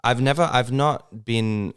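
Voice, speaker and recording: A man in his thirties, born in Serbia and speaking, talking about his acting work in a relaxed interview, with lots of fillers and restarts. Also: mid-range voice, a little slow, smooth. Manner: hesitant, many fillers and restarts. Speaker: actor, interview guest. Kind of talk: casual interview conversation.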